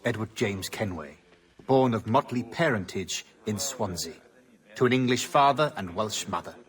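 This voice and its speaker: Low-voiced, formal male interrogator. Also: animated, moderate pace, fairly bright tone. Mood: cold, probing. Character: cold, formal male interrogator in a pirate-era prison.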